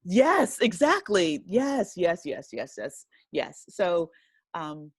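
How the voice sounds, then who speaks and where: An American woman speaking casually as an interview guest, halting and often rephrasing, with plenty of "you know". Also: on the low side, very animated, breathy edge, dry recording, clean studio sound. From United States, a rural town. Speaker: interview guest, writer and director of an audio drama.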